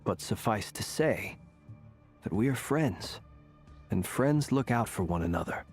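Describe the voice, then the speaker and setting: An actor playing a composed, courteous and analytical man in a video game, speaking formally with measured authority. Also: lowish voice, animated, husky, faint music behind, heavily acted. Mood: composed, cordial.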